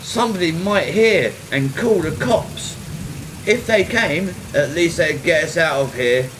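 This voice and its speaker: A man's voice: fairly high pitch, lively intonation, measured pace, noisy background. An actor playing a scared, irritated boy trapped in a haunted house in a Halloween radio play. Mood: uneasy, annoyed.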